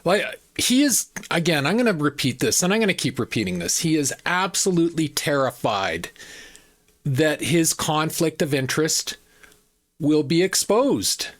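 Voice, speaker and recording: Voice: medium pitch, very animated, normal speed. Emphatic, scornful political commentator. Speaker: host of political commentary show.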